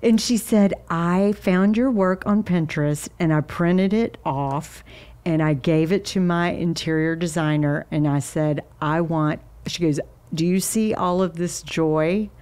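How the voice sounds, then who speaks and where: Earnest middle-aged woman guest, lowish voice. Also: unhurried, smooth. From United States. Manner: earnest, warm storyteller, easily moved. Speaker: guest artist. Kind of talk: casual interview chat. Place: podcast studio with wooden bar shelves. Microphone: boom mic and headphones.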